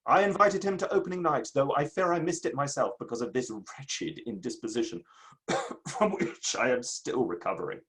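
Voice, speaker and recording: A man's voice: medium pitch, normal speed, fairly bright tone, close to an everyday voice. A man in a dramatic play reading, playing a proud, aggrieved Victorian writer with a formal, emphatic delivery. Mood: indignant, scornful.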